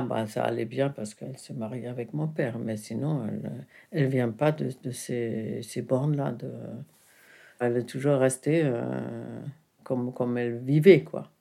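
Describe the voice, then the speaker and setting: A woman's voice: very deep voice, creaky, mellow. A woman whose first language is not French, speaking in an intimate conversation and searching for her words with frequent restarts. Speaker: interviewee, the filmmaker's mother.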